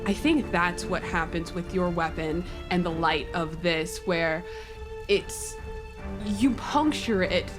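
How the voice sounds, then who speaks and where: A woman narrating a tabletop roleplaying game as a player, describing things in a thoughtful, measured way. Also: lowish voice. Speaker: player running a creature.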